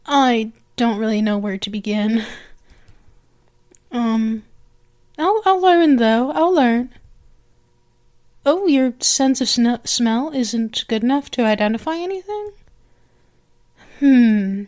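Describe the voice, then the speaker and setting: A woman's voice: on the high side, animated, slow pace, long pauses, a little mellow. A woman voicing a possessive, eager young lamia girl in an ASMR roleplay, coaxing and hesitant, often restarting her phrases. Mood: shy, earnest.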